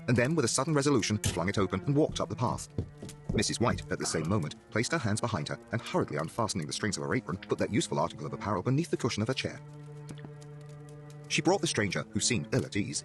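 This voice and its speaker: A man narrating a horror story in a dramatic, scripted audiobook style. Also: fairly low pitch.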